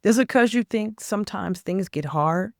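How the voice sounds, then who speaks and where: Woman faith vlogger, hoarse from a cold, gravelly low voice, dry close sound, rambling then earnest. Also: expressive, moderate pace, darkish tone, dry recording. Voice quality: raspy. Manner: rambling, stops and restarts, then earnest exhortation. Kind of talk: casual faith talk to camera.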